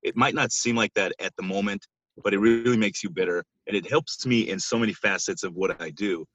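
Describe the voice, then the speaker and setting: A man's voice: fairly low pitch, brisk, a bit bright, no echo, rough recording. A man in his thirties from Israel, giving conversational interview answers as a podcast guest over a band-limited call. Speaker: podcast guest, basketball coach.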